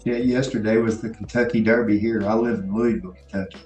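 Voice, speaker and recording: A man's voice: on the low side, fairly even intonation, quick pace, a little mellow, reverberant, faint music behind. A man calling in to a live stream, friendly and curious, asking the host questions. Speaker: caller. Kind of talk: casual chat.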